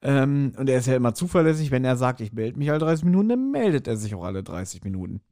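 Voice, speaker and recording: Male podcast co-host, medium pitch, colloquial and speculative, casual review talk. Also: very animated, fast, warm tone. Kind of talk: casual discussion of an audio drama.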